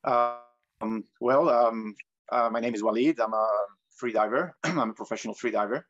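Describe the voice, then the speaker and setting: A man from Tunisia answering interview questions conversationally as a guest, in halting, thoughtful phrases over an online call. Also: mid-range voice, a bit bright, dull audio. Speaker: guest, professional free diver.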